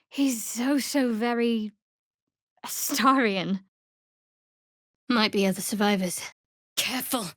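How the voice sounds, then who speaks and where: An actress voicing a guarded, wry female cleric of a dark goddess in a fantasy video game, with a cool, measured, sardonic delivery. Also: a little high, no room sound, clean studio sound. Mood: wry, cautious.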